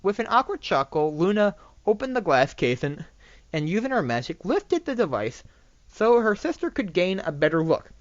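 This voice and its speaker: An amateur narrator reading a fan fiction story aloud in a halting way, often stumbling over and repeating words. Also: medium pitch, big pitch swings, no echo, highs cut off.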